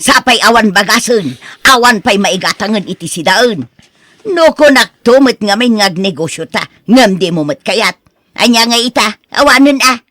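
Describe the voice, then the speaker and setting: A woman's voice: mid-range voice, expressive, moderate pace, fairly bright tone, dry recording, clean studio sound. A woman with an Ilocano accent playing a nagging, exasperated wife reproaching her gambler husband in a radio drama. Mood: angry scolding.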